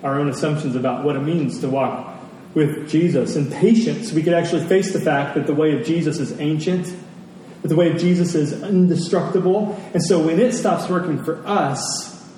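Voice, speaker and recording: A man's voice: fairly high pitch, normal speed, dark tone. A man preaching a conversational sermon, repeating key phrases for emphasis. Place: large reverberant room. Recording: very echoey hall, distant mic, faint noise.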